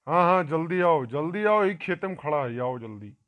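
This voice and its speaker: A man's voice: fairly high pitch, animated, moderate pace, a bit bright. A man voicing an accusing, tattling village farmer in a comic cartoon, insistent and repetitive, with an Awadhi accent. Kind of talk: amateur comic cartoon dialogue.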